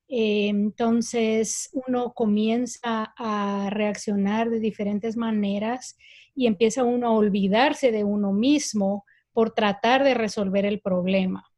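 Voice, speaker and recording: A woman from Guatemala with a Guatemalan Spanish accent, speaking slowly and thoughtfully with frequent hesitations as a guest in a discussion. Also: on the high side, a little mellow, muffled recording. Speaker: guest speaker on parenting and self-care. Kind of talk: casual discussion.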